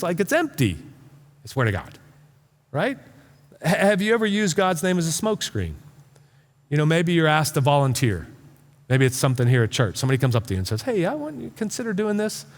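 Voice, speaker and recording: Male pastor for a casual, emphatic sermon; very varied pitch, stop-and-start phrasing, echoing auditorium sound. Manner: informal, halting, repeats words for emphasis. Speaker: pastor preaching. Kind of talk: conversational sermon to a congregation. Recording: reverberant hall sound.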